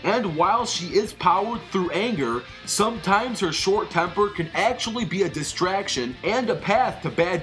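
An energetic YouTube-style host reads scripted narration. The voice sits at a medium pitch, with music playing underneath. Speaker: host and narrator of an animated fight series.

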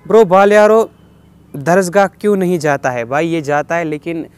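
A young man with a fairly high voice and a Kashmiri accent hosts a casual question-and-answer vlog. He reads each question aloud and replies in a chatty way, dropping in bits of English. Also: fairly even intonation, moderate pace, clean tone. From Kashmir, India. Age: 20s. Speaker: vlogger answering viewer questions. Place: outdoors on a rooftop.

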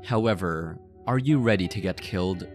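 Man acting low-voiced, possessive mafia boss. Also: a little mellow, soft background music, lightly acted. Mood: commanding, menacing. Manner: slow teasing, sinister chuckles, theatrical. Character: possessive, menacing, playful male mafia boss. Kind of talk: ASMR roleplay acting.

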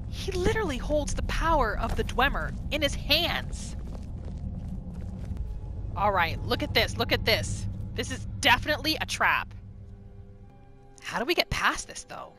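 A woman giving casual, excitable role-play commentary as a gaming video host, often repeating her phrases. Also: high-pitched. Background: game music and sound effects.

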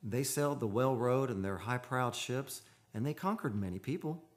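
An actor playing an earnest, haunted man of seafaring stock in an old-time radio drama, speaking in a solemn, measured, poetic way. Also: medium pitch, lively intonation, lightly acted. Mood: awed, halting. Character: earnest, haunted seafarers' descendant telling his eerie tale. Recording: live radio studio with music behind.

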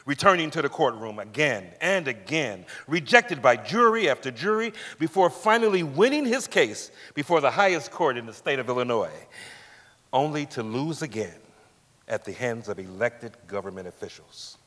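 A man's voice: mid-range voice, very animated, moderate pace, a bit bright. A middle-aged man giving a scripted dramatic reading as narrator on a theatre stage. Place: large indoor theatre stage. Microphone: lapel. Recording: echoey theatre hall.

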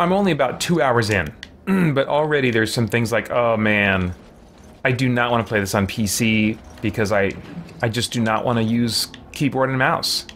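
A man's voice: medium pitch, slight background noise. A man, giving casual, rambling live gameplay commentary indoors at home. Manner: chatty, rambling, animated fan talk. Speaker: game streamer. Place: small room at home, indoors. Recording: faint game sound behind voice. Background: video game ambience.

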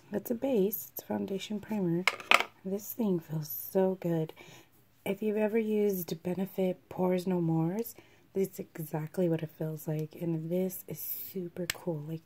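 A woman with a hoarse, froggy voice left by a cold talks through a makeup unboxing, slipping often into a half-whisper and clearing her throat. Her delivery is slow, low-pitched and mellow. A faint hiss sits under the recording. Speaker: beauty vlogger. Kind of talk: casual product unboxing. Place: at a vanity table indoors. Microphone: camera over the table, hands only in view.

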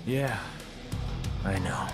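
A man's voice: sits low, extremely slow, loud music behind the voice. A man playing a cocky, laid-back veteran demon hunter in a video game, wry and breezy. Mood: reassuring. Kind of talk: video game cutscene acting.

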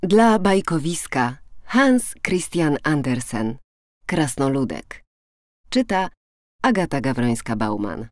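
A woman narrating a fairy tale for children in an expressive, deliberate storytelling manner, as in an audiobook. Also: deep voice, no room sound, studio quality.

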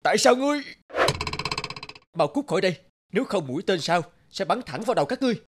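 Young cartoon hero, earnest, brave, animated, slightly high voice. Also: male voice, fast. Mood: stern. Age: young adult. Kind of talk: cartoon fairy-tale acting.

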